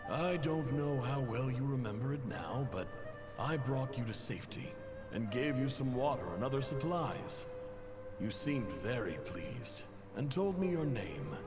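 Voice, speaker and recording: Proud desert chief, echoing, expressive. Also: male voice, medium pitch. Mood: formal, courteous. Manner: formal, measured, courteous. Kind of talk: dramatic video game letter narration. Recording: long reverb, music behind.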